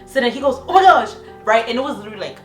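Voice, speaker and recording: A young woman chatting casually as co-host, telling an animated story and acting out what people said. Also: fairly high pitch, very fast. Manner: animated storyteller, acts out quotes. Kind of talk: casual couple chat. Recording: echoey room with music behind.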